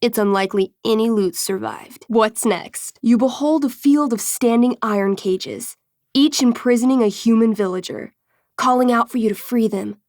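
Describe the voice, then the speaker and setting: A woman's voice: a little high, normal speed, a little mellow, no echo, clean studio sound, natural voice. An actress playing an enthusiastic young woman game master in a video game, moving between theatrical storytelling and casual, wry asides. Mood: narrating, wry. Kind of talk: video game dialogue, dramatic tabletop narration.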